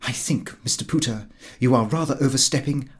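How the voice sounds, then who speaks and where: A man's voice: mid-range voice, fairly quick, close to an everyday voice. A man voicing a genteel, credulous lady visitor in an audiobook, prim and earnest. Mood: reproving.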